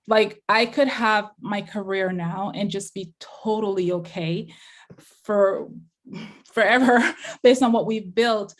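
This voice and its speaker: A middle-aged woman with a Jamaican accent, a guest talking casually and quickly, with many fillers. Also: medium pitch. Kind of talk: casual interview chat.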